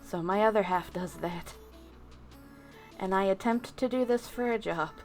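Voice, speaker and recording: Woman chatting casually over music. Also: mid-range voice. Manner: unscripted musing, addressing chat, with pauses. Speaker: VTuber livestreamer. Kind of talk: casual livestream chat with viewers.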